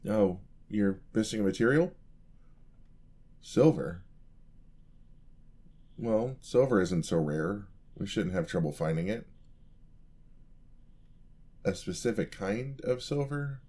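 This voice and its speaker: A man plays a young apprentice paladin in a fantasy audio roleplay. His voice is low and he speaks slowly, with a polite, eager and slightly clumsy charm. He chats hesitantly and gets flustered easily as he addresses the listener. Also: lively intonation, dark tone, breathy edge, exaggerated voice. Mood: curious, polite.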